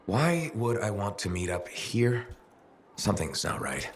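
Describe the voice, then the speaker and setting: A man's voice: fairly low pitch, sing-song, a little slow, a little hiss or hum. An actor playing an easygoing but wary male freelancer, understated and dryly joking, in a video game cutscene.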